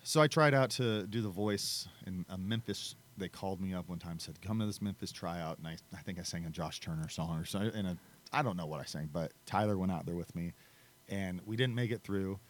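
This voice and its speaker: A man's voice: low voice, fairly quick. A man, a country singer, chatting casually as a podcast guest and telling self-deprecating stories. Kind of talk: casual interview chat.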